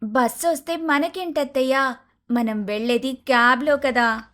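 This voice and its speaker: An eager, naive young daughter-in-law in a cartoon moral tale, voiced by a woman. The voice is very high, chatty and full of exclaimed questions. Soft music plays behind it. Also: unhurried. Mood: surprised, complaining. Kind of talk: dramatised moral story voice acting. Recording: music under the voice.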